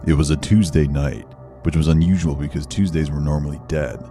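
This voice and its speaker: A man narrating an animated horror story in a scripted storytelling style. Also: extremely low pitch, brisk, soft background music.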